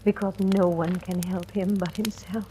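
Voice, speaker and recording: A woman's voice: fairly low pitch, flat delivery, normal speed, mellow, lightly acted, poor audio. An actress playing a blunt, bitter, resigned household woman in old-time radio drama style. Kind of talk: 1940s-50s radio drama acting. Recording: old radio recording, faint noise.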